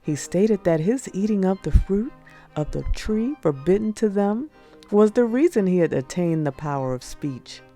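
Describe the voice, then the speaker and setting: A woman narrating religious prose as an audiobook, in a measured, solemn read-aloud delivery. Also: medium pitch, lively intonation, dark tone. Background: music.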